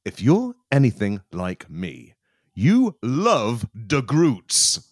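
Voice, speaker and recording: Male co-host, playful banter, lively swings, dry close sound. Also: medium pitch, takes it slowly, no room sound. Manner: playful, sweary. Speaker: podcast co-host. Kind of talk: comedy podcast banter.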